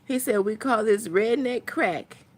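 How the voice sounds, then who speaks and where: Slow-talking woman vlogger. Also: on the high side. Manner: unhurried, rambling, thinking aloud. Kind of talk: casual chat to viewers.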